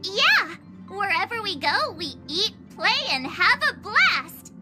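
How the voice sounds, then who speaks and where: A woman voicing an excitable, fun-loving young adventurer who teases and protests in a game cutscene over music. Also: very high-pitched, slow, full character voice. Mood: playful. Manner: excitable, whiny, teasing.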